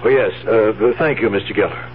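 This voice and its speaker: An actor playing a scheming, placating, uneasy nephew in a 1970s radio drama. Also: male voice, on the low side, brisk, close to an everyday voice. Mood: smooth, concerned. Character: scheming nephew humoring his confused old aunt. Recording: tinny narrow-band 1970s radio recording, hiss.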